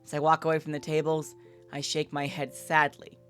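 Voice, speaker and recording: Woman, lower-pitched voice, gaming livestream, casual and candid, read-aloud narration and chat, soft music behind. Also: monotone, moderate pace. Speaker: livestreamer playing and reading aloud a visual novel. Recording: background music under the voice. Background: game music.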